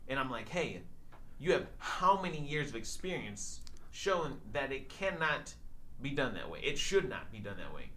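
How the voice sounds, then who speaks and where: A man chatting casually on a podcast, excitable and quick to interject, with some noise behind him. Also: mid-range voice. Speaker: podcast panelist. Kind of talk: casual chat. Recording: noticeable background noise.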